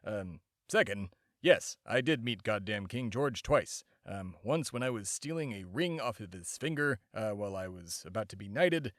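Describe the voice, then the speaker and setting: A man's voice: fairly low pitch, expressive, moderate pace, no room sound, clean studio sound. A man playing a bombastic, self-important immortal embodiment of America in a comedy podcast monologue. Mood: smug. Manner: pompous, shouting announcer bursts, rambling asides.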